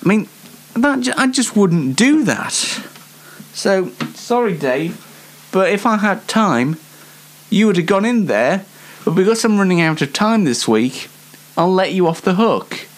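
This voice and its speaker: A man's voice: high-pitched, big pitch swings, a little slow. A young man hosting a comedy web show, chatting casually to viewers in a rambling, hesitant, self-deprecating way with dry mock indignation. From Yorkshire, England.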